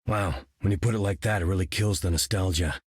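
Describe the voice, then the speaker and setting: An actor playing a good-natured veteran soldier hero in a video game, casual and wry. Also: male voice, low voice, a little fast, husky, no room sound, studio quality. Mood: wry, easygoing.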